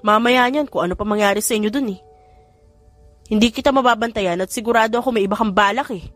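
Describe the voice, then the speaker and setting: A woman's voice: mid-range voice, quick pace, fairly bright tone, natural voice. Woman as a playful, whiny lovestruck young man, music behind. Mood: complaining. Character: lovestruck young male doctor, playful, pleading son. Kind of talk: audiobook character dialogue.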